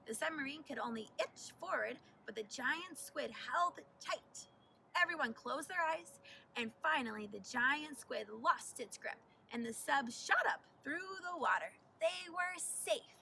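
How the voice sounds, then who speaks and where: A woman reading a children's story aloud like a teacher, deliberate and animated, recorded at a distance with faint noise. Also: high-pitched, vocal fry. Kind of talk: expressive children's storybook narration.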